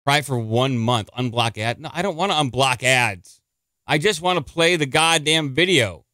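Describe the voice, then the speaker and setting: A man's voice: mid-range voice, bright, forceful tone, no room sound, studio quality. A man hosting a live stream in a chatty, rambling way, a little congested and nasal. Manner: chatty, rambling, quick to gripe. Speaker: podcast host. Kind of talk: casual live-stream talk.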